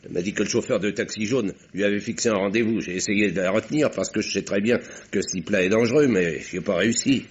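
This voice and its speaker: An actor playing an earnest middle-aged doctor turned sleuth who talks in an urgent, insistent and sometimes flustered way, in an old radio play. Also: male voice, lowish voice, fast talker, hardly any pauses, husky. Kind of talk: 1950s radio drama acting. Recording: dull old radio recording.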